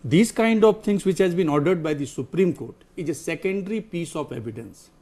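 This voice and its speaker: A man with an Indian accent and a distinctly high voice speaks as a legal expert in a studio discussion. He explains procedure in a halting way, often searching for his next word. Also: expressive, dark tone. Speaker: legal expert guest on a TV panel.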